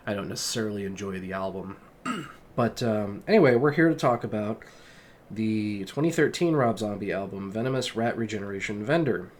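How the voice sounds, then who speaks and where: A man's voice: fairly low pitch, slight background noise. A young man with a sick, sniffly voice giving a casual, rambling spoken review of an album, full of fillers and restarts. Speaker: music vlogger.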